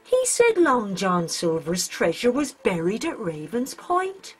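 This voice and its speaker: A woman voicing a plucky, excitable nine-year-old Irish girl with an eager, folksy manner. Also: a little high, takes it slowly, husky, pitched above the performer's own voice, heavily acted. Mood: eager discovery. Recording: music under the voice.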